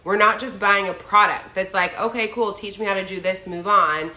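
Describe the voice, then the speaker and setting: A woman's voice: mid-range voice, steady tone, moderate pace, pushed and bright, reverberant, poor audio. A young adult woman getting over a cold and sounding a bit nasal, talking casually as a business coach. She chats in a loose way, with lots of "like" and "right?". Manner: chatty, filler-heavy, often asks "right?". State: recovering from a cold, slightly nasal. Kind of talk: casual monologue to followers. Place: New York.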